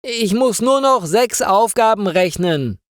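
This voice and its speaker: A man's voice: high-pitched, lively intonation, takes it slowly, no room sound, studio quality, voice pushed up. A man voicing a flustered, hesitant eight-year-old schoolgirl in a storybook reading. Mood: evasive.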